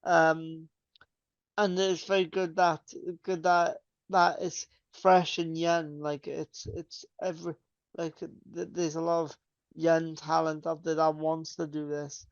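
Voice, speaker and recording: A young man co-hosting a metal podcast, his speech rambling and full of restarts. His voice sits a bit high and carries a gravelly, smooth edge. The sound is dry and dull, like a lo-fi podcast mic. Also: level tone, takes it slowly, no room sound. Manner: rambling, many fillers and restarts. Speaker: podcast co-host. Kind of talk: casual chat.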